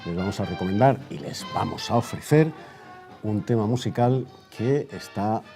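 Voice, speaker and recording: A man with an accent from Spain presenting a news bulletin like a 1930s radio newscast, halting and repeating phrases for emphasis. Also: lowish voice, expressive, creaky, warm tone, faint music behind.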